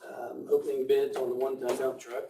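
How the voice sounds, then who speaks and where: A hoarse man who is losing his voice presents bids at a county board meeting, reading each figure slowly and repeating it. He sits well away from the microphone in an echoey room with a faint hiss behind him. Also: mid-range voice, level tone, mellow. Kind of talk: public meeting report. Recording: echoey room, mic at a distance.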